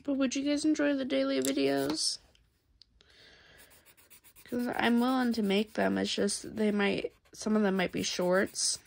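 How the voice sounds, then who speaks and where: A woman's voice: a little high, steady tone, gravelly. A woman with a cold, sounding stuffed up, chatting casually to her viewers while she colors. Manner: soft, unhurried, chatty with pauses. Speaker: coloring channel host. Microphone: overhead camera on hands.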